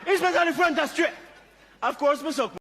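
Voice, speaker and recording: Very high, bawdy Jamaican loudmouth. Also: female voice, fairly quick. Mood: bawdy, emphatic. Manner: loud, repetitive, emphatic. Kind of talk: comedic stage play acting. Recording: loud audience crowd, noisy stage capture.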